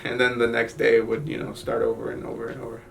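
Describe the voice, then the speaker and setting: Young man from Guatemala, interview subject; hesitant, filler-heavy, gravelly low-key delivery. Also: completely flat delivery, a bit bright, distant mic. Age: young adult. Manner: halting, many fillers and restarts. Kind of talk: personal story in an interview.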